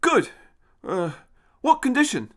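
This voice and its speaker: A man's voice: very high voice, huge pitch swings, slow pace, background noise, voice pushed up, full character voice. A man voicing a nervous, kindly young man in an audio story, hesitant, stammering and politely awkward. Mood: flustered.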